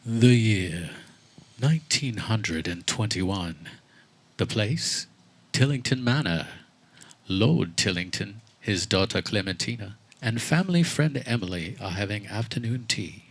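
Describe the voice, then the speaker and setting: A man's voice: on the low side, lively intonation, unhurried, gravelly, dry recording. A man narrating a scripted comic radio play before a live audience. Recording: music behind, live backyard show.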